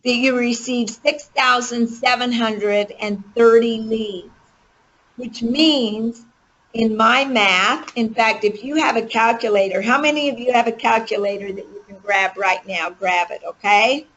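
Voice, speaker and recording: A woman's voice: on the high side, measured pace, a bit bright. Woman coach on a muffled conference call, takes her time, keeps checking the group follows. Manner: emphatic, checks in with rhetorical questions. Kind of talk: motivational coaching, interactive teaching with questions to the group. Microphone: conference call line.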